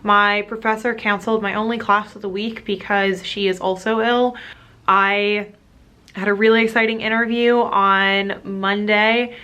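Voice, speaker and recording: Young woman vlogging in a smooth, even voice. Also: medium pitch, a little slow. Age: young adult. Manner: candid, chatty, talks straight to viewers. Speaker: vlogger, postgraduate student. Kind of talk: casual vlog chat to camera.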